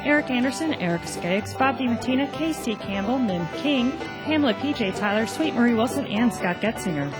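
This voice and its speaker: A woman's voice: a little high, expressive, a little fast, few pauses, poor audio. A woman reading scripted closing credits as a radio announcer. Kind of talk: scripted broadcast credits read. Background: loud guitar music.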